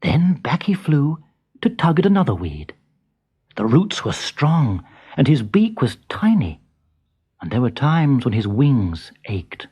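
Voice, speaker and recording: A storyteller giving an expressive narration of a children's storybook. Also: medium pitch, slow, breathy edge.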